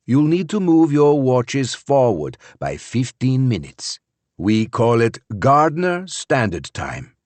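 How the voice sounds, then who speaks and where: A man's voice: mid-range voice, no room sound, voice pushed up. A man voicing a tall, bearded property manager who speaks formally, with a sing-song foreign lilt. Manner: formal, courteous.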